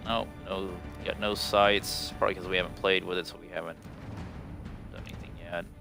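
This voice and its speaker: A man with a very low voice talks through a video game in a loose, meandering way, full of ums and restarts. He sounds a little stuffed up and nasal from a cold, and faint music plays quietly behind him. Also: little pitch movement. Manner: rambling, unhurried, many ums and restarts. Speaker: gaming video host. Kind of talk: casual gameplay commentary.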